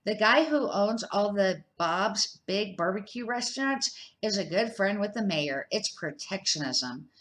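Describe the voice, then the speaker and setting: A woman's voice: medium pitch, animated, measured pace, a bit bright. A blunt barbecue truck owner calling out his opinion, matter-of-fact, with the voice hardly changed from the reader's own, as a woman reads him aloud. He sounds plainspoken. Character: gruff man who runs a barbecue truck.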